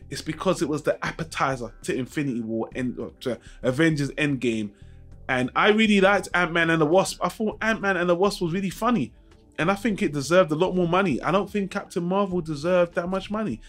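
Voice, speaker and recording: A man hosting a casual film review vlog, talking informally and frankly to his viewers with some mild swearing. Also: a little high. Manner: informal, mildly profane, frank. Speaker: YouTube channel host.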